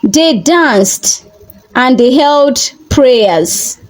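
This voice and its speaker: A woman narrating a scripted folktale as a storyteller, in deliberate, measured short phrases. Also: high voice, expressive, poor audio.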